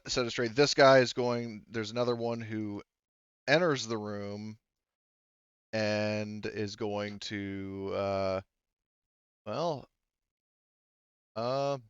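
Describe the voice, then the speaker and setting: A man's voice: on the low side, clear, resonant tone, no echo, dull audio. A man running a tabletop game as game master, narrating casually and thinking aloud in a hesitant way.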